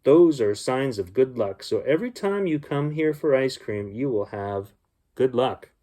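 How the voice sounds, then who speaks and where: A man's voice: mid-range voice, expressive, measured pace, mellow. Man reading as a kindly woman shopkeeper, slightly lifted. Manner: kindly, explaining to a child. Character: friendly, smiling woman ice cream shop keeper.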